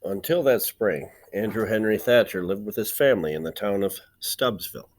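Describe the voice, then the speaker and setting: A man reading a children's storybook aloud like a teacher, with deliberate phrasing, dramatic pauses and emphasis. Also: fairly low pitch, animated. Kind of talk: expressive children's storybook narration.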